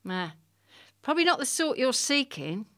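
A woman's voice: high voice, very animated, moderate pace, fairly bright tone. A woman playing a coaxing, bossy critic who gives emphatic instructions in a comic audio play. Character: bossy, coaxing streetwise critic of a night watchman.

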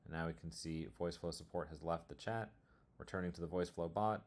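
Very deep-voiced man, casual tech walkthrough. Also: fairly even intonation, fast talker. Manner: informal, explanatory. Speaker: software demo presenter. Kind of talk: casual screencast tutorial walkthrough.

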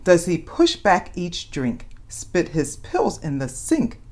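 A woman with a slightly low voice reads a picture book aloud for young children. Her delivery is very animated, with wide swings in pitch. She plays up the story as a host speaking to little listeners. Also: slow. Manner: animated, dramatizes questions and exclamations for young children.

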